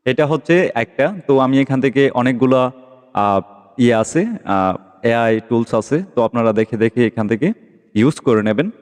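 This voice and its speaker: A man's voice: medium pitch, normal speed, a little mellow, smooth. A man giving a casual tutorial voice-over as host, explaining step by step and often checking that listeners follow. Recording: long reverberant echo.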